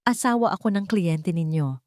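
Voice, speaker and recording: A woman's voice: lowish voice, animated, normal speed, darkish tone, no room sound, clean studio sound, natural voice. A woman voicing a wealthy husband in an audio drama, speaking in a firm, cold, demanding way. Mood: cold, threatening. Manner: firm, commanding.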